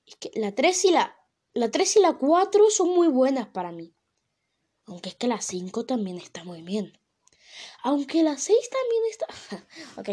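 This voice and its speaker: A young woman with a Venezuelan Spanish accent, talking casually straight to her audience. Her voice sits a little high but sounds mellow and warm. She rambles, restarts and fills pauses as she goes. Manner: rambling, many fillers and self-corrections. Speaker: vlogger. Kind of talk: casual solo talk to camera.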